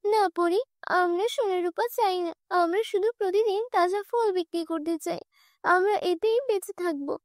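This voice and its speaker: A woman's voice: very high voice, darkish tone, no echo, clean studio sound. A woman voicing a humble, honest young flower girl in a cartoon fairy tale, polite and earnest.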